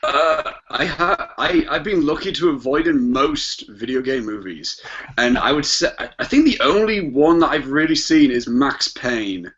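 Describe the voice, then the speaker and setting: A man's voice: on the high side, lively intonation, unhurried, a bit bright. A man talking casually as a panelist on a podcast, through dull, lo-fi audio. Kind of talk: casual chat.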